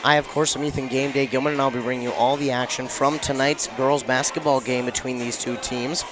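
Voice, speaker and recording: A young man hosting a radio sports pregame show from a school gymnasium, his voice hoarse and a bit subdued. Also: medium pitch, level tone, a little fast, crowd noise. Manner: conversational, somewhat subdued. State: hoarse, has lost his voice. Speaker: radio sports play-by-play host. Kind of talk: pregame broadcast talk.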